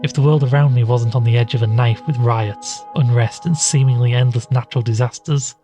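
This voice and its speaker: An actor playing an anxious, weary podcaster in an audio drama, with a brooding, reflective manner. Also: male voice, mid-range voice, steady tone, a little fast, mellow, faint music behind, lightly acted. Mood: brooding narration. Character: anxious, weary male podcaster drawn into occult mystery. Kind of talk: audio drama narration and dialogue.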